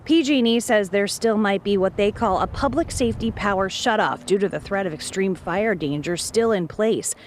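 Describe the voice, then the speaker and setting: A woman news reporter delivering a scripted report outdoors beside a highway. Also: moderate pace, hardly any pauses. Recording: faint outdoor roadside noise.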